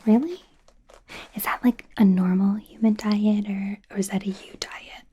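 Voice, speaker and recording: Woman to play awkward, apologetic female werewolf doctor for ASMR roleplay; soft mellow whisper, unhurried, hesitant and self-doubting. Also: medium pitch, fairly even intonation, a little hiss or hum, lightly acted. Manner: hesitant, rambling, self-deprecating.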